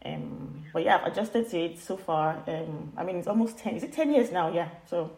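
A woman with a Nigerian accent and a slightly low voice, recorded in a roomy, echoey space. She talks conversationally as a podcast guest, in an informal, chatty way with hesitant phrasing. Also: animated, normal speed, creaky, a little mellow. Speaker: guest, university postgraduate admissions officer.

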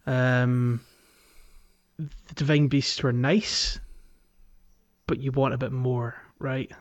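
A man co-hosting a casual gaming podcast, talking in a thoughtful, halting way on a slightly dull, lo-fi recording. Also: medium pitch, little pitch movement. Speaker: podcast co-host.

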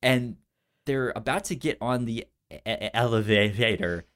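A man of ordinary pitch talks through films as a casual co-host. He stutters often, repeating first sounds and whole syllables before the word comes out. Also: measured pace, fairly bright tone. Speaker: podcast co-host. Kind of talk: casual film discussion.

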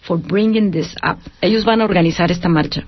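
A woman in a scripted community radio drama, speaking with lively energy and switching between English and Spanish. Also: on the low side, fast talker, slightly rough. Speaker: radio drama cast member. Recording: dull lo-fi radio sound, dry.

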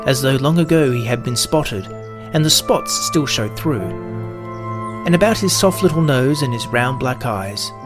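Gentle male storybook narrator, middle-pitched voice over soft music.

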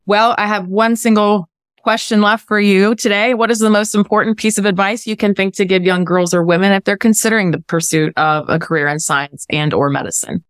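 A woman's voice: mid-range voice, fairly even intonation, a little fast, smooth, no room sound, studio quality. A woman hosting a conversational podcast interview, affirming and reflective in how she responds. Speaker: podcast host.

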